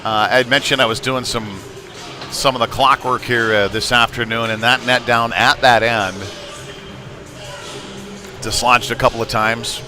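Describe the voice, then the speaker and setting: A man's voice: mid-range voice, steady tone, unhurried, long pauses, over music. A man doing live hockey play-by-play in a folksy, chatty way that lifts with the action. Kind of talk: live sports commentary with casual asides. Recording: noisy live rink ambience.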